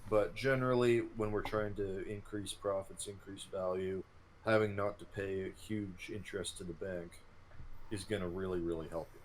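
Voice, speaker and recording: A man's voice: low-pitched, fairly even intonation, moderate pace, low-quality recording. A man in his thirties chatting casually about gameplay as a co-host. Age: 30s. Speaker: co-host of gaming series. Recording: clear background noise and ambience.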